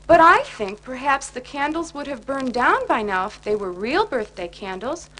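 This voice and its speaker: A woman voices an affectionate cartoon bear from a children's storybook. It is high-pitched, sweet and tactful, recorded with faint noise on an old record. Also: big pitch swings, normal speed, bright, forceful tone, exaggerated voice. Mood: admiring, doubtful. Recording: noisy 1980s children's record.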